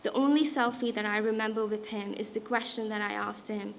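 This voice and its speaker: A young woman from India with an Indian accent, giving a prepared talk as a guest speaker in a measured, deliberate way. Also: mid-range voice, steady tone, gravelly, a little hiss or hum. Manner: measured, deliberate delivery with occasional restarts. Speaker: guest speaker, designer and artist.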